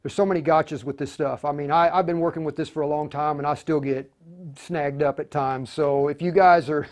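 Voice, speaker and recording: A man with a slightly high voice leads an informal live tutorial. He thinks aloud in halting phrases, muttering to himself while he works and talking to viewers in a plain, reassuring way. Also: darkish tone. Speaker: tutorial host demonstrating radio programming.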